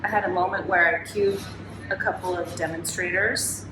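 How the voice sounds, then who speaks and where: A woman's voice: fairly low pitch, fairly even intonation, normal speed. A woman from California, a Pilates educator, talking in a reflective, chatty way. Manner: warm, reflective, chatty with "you know" asides. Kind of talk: casual interview. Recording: echoey room with background noise.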